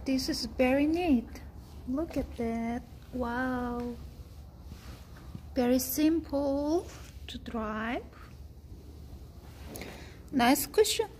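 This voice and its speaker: A woman talks slowly through a casual walkthrough in a high voice. She drops short, simple exclamations as she goes, recorded on a handheld phone indoors with a faint hiss underneath. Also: expressive, darkish tone. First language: Korean. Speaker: travel vlogger. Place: vehicle museum indoors.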